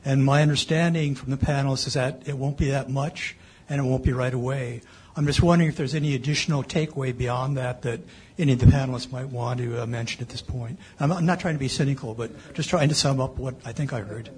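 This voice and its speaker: Fast-talking husky man asking a question. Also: mid-range voice, steady tone, darkish tone. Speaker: audience member asking a question. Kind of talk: question at a panel discussion.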